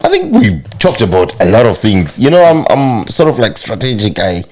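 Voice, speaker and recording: A man's voice: fairly low pitch, wildly swooping pitch, normal speed, a little mellow. A man hosting an interview in casual chat, English as his second language, searching for words. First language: not English. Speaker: host.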